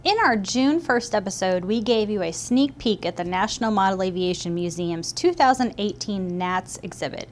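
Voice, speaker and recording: A woman co-hosts a hobby news show, moving between scripted announcements and friendly banter. Her tone is upbeat and promotional, with a faint low rumble behind her. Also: animated, moderate pace, hardly any pauses. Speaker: show co-host.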